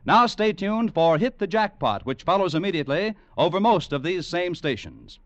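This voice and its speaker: A man's voice: high voice, animated, moderate pace, no room sound. A man with an American accent reading promotional announcements in a mid-century radio style. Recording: dull vintage radio recording.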